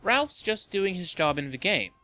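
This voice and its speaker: A man's voice: high-pitched, big pitch swings, moderate pace, bright, forceful tone. A man hosting a video game and movie show, reading scripted list narration with a wry, tongue-in-cheek manner.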